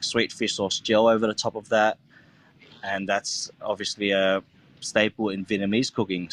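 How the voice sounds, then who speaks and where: A man's voice: fairly low pitch, low-quality recording. An Australian man in his thirties, a chef talking casually in an interview, rambling with lots of fillers. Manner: relaxed, rambling, many fillers like "like" and "you know". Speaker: chef and restaurant owner.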